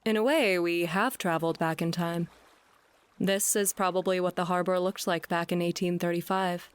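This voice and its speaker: A woman's voice: on the low side, fairly even intonation, moderate pace, clear, resonant tone, lightly acted. Brooding, sardonic woman, played by an actress. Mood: wry, quiet. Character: brooding, sardonic woman aboard a tall ship, musing philosophically. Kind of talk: theatrical audio play acting.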